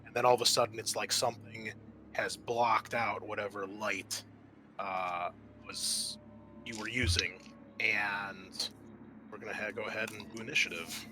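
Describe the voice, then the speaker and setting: A man working as game master, improvising narration for a tabletop roleplaying game in an informal, conversational, halting way. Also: fairly low pitch, steady tone, bright, forceful tone, slight background noise. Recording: music behind the voice. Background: music.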